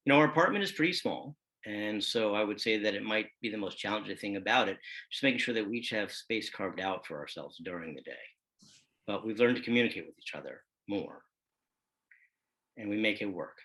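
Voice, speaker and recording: Man playing a low, bright-voiced husband. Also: animated, a little fast, rough recording. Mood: reflective. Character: measured married man coping in close quarters. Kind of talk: casual documentary theater monologue.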